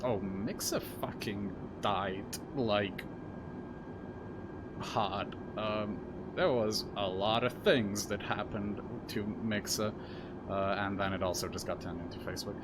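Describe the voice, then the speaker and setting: A man from Serbia, a native Serbian speaker, gives slow, unhurried play-by-play while streaming a flight simulator, his voice sitting a little low. He rambles and jokes at his own expense, with lots of ums and uhs. A loud, steady hum of air conditioning runs under the whole recording. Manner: rambling and self-deprecating, full of fillers. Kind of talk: casual live-stream commentary. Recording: loud constant background hum.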